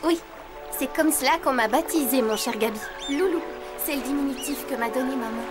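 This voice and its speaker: Very high, spirited cartoon little girl played by a woman. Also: fairly quick, music in the background, lightly acted. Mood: playfully haughty. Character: spirited little girl, cheeky, proud, playfully grand. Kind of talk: French cartoon dub acting.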